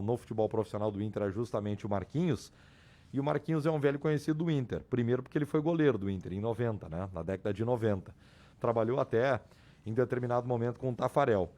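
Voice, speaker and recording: A man with a hoarse voice, reporting club sports news on a radio show in an explanatory way. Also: mid-range voice, dark tone. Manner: explanatory, restarts and repeats names. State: hoarse, losing his voice. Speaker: sports commentator.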